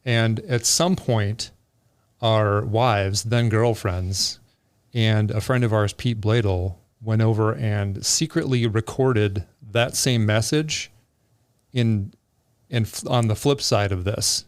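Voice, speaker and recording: A man's voice: fairly low pitch, takes it slowly, clear, resonant tone, no echo, studio quality. A middle-aged man hosting a podcast, reminiscing in casual chat. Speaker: podcast host.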